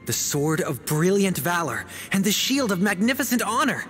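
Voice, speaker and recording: An actor playing an eager, naive young novice adventurer in a video game, earnest and wide-eyed. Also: male voice, high-pitched, sing-song, normal speed, a bit bright. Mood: confiding. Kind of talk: English video game voice acting. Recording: background music under dialogue.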